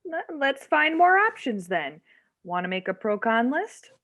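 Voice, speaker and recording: A woman playing a practical, gently wry parent helping a teenager in a screenplay table read. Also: high-pitched, very animated, a little slow, highs cut off, full character voice. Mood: helpful, wry.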